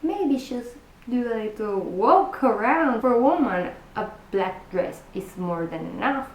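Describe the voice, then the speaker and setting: A woman from Buenos Aires, a native Spanish speaker with an Argentine accent, talks viewers through her packing tips in a chatty, roundabout way. Her voice sits a little high and she takes her time, in a room with a noticeable echo. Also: animated, a little slow. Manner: chatty, restarts and self-corrections, direct address to viewers. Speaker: cruise vlogger. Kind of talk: casual packing-tips vlog.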